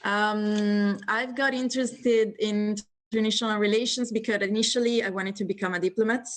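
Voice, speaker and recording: A woman's voice: medium pitch, fairly even intonation, normal speed. A woman in her twenties from northeast Italy with an Italian accent, answering questions as a guest on a video call, friendly, with many fillers. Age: 20s. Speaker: guest speaker.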